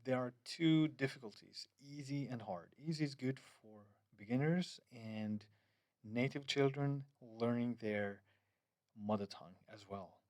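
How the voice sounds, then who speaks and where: Man, mid-pitched, hesitant app walkthrough, dry booth-like sound. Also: a little slow, darkish tone, no echo. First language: Finnish. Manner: hesitant, many fillers and restarts, loose phrasing. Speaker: app developer. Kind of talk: screen-recorded app demo.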